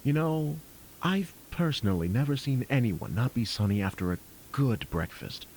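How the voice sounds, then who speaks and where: A man's voice: mid-range voice, animated, moderate pace, a little mellow, breathy edge, low-quality recording. A man playing a charming, confident, protective boyfriend in an audio drama. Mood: charming, smooth.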